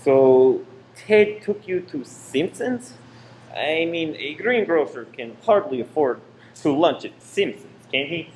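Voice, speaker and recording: A man's voice: a little high, animated, takes it slowly, darkish tone. Performer as guarded male saboteur, echoey room. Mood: guarded cordiality. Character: uneasy, guarded cinema owner and secret saboteur. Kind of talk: radio play acting. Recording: echoey room with faint noise.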